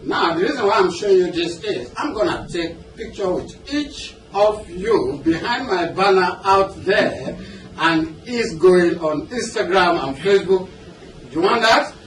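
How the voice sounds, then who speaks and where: A man's voice: high-pitched, lively intonation, measured pace, a bit bright. A man with a Nigerian accent giving a motivational seminar talk as a business coach, conversational and often checking in with questions. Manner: conversational, engaging the audience with questions and check-ins. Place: indoor seminar room with an audience. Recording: roomy, noisy seminar hall, distant mic.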